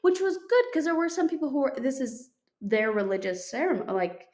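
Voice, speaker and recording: A woman's voice: sits high, very animated, fairly quick, a little mellow. A young woman co-hosting a podcast, telling a story in a chatty way with many fillers and restarts. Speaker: podcast co-host. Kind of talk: casual chat.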